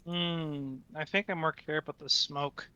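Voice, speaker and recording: Male tabletop player, raised pitch, informal conversational delivery. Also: steady tone, a little slow, sharp tone, smooth, highs cut off. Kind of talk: casual game table chat.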